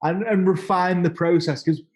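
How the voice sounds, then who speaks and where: Halting man in his thirties. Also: high voice, fairly even intonation, darkish tone. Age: 30s. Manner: halting, repeats words and restarts often. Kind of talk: casual interview conversation.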